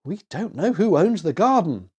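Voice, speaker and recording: A man's voice: sits high, big pitch swings, moderate pace, darkish tone, husky, voice pushed up, exaggerated voice. A man voicing a sweet, anxious little girl with a childlike, fretful manner in a storybook reading. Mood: frightened.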